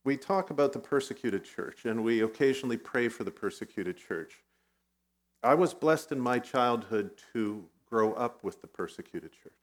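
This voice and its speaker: A middle-aged man who grew up in Vietnam, a guest pastor giving a conversational sermon with personal stories, now and then clearing his throat. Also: mid-range voice, expressive, moderate pace. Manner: conversational, self-deprecating storytelling.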